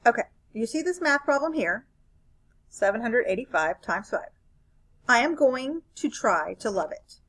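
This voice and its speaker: A woman's voice: fairly high pitch, animated, a little slow, fairly bright tone, exaggerated voice. A woman reading a children's picture book aloud as a grumpy, bored, reluctant narrator who complains expressively.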